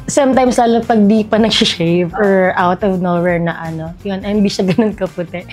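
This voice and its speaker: A woman's voice: mid-range voice, moderate pace, darkish tone. A woman in her late twenties with a Filipino accent, giving casual, informal answers as an interview guest. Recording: music behind the voice. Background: background music.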